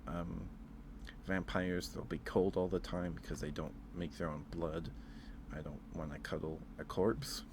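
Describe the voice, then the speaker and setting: Low-voiced man streaming, noisy recording. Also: little pitch movement, a little slow. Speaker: live streamer. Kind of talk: casual ASMR livestream chat.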